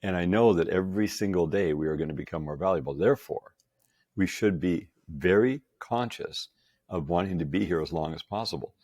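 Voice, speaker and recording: A man's voice: low voice, brisk, a little mellow, dry recording. A man in his sixties, a podcast guest, talking with enthusiasm and frequent emphasis. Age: 60s. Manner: enthusiastic, emphatic, laughs often. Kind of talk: casual chat.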